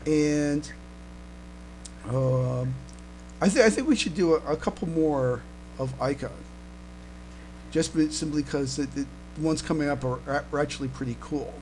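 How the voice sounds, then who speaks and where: A man's voice: on the high side, measured pace, long pauses, a little mellow, a little hiss or hum, poor audio. A man hosting a casual fan podcast, chatting hesitantly and often stammering and repeating his words. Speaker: podcast host.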